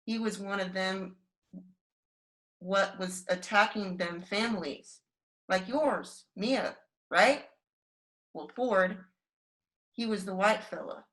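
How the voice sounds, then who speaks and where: Actress as a savage, bright-voiced American outlaw woman. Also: medium pitch, slow pace, pauses often, rough recording. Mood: matter-of-fact. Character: savage, bloodthirsty young outlaw woman, swaggering and crude. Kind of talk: Western radio drama acting.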